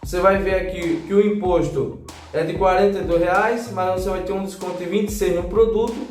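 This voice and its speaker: A man's voice: high voice, fairly even intonation, fairly quick, darkish tone, roomy sound, soft background music. A Brazilian man giving a casual tutorial voice-over as a gadget reviewer, instructing viewers directly with frequent check-in tags. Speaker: gadget reviewer and channel host.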